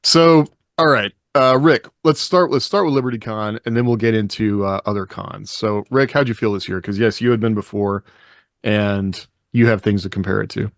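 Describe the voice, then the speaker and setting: A man hosts a casual panel podcast in a lowish voice. The sound is dry, with little room in it, and his delivery is conversational. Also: normal speed, dry recording. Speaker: podcast host.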